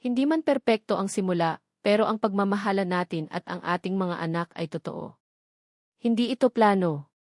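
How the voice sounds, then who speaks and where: A woman's voice: mid-range voice, normal speed, no room sound, studio quality, close to an everyday voice. A woman voicing a firm, earnest billionaire father who protects his family in a romance story. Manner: firm, insistent.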